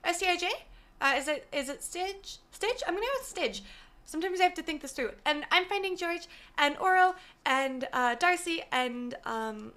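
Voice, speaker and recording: High-voiced woman streamer, a bit hoarse. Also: expressive, normal speed, bright, forceful tone. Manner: chatty, apologetic, greets viewers by name. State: slightly hoarse, voice worn from talking. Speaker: gaming streamer. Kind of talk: casual live-stream chat. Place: small room at home. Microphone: desk mic set far from her, gain turned up.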